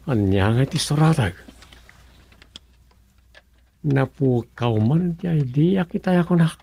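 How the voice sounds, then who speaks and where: An actor in an Ilocano radio comedy drama playing a grumbling, sarcastic village councillor with a rough voice. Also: mid-range voice, normal speed, warm tone.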